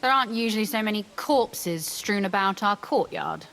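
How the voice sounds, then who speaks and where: An actress voicing a feisty, spirited young merchant's daughter in a video game. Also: female voice, medium pitch, lively intonation, moderate pace, vocal fry, fairly bright tone. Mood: wry. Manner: defiant, spirited.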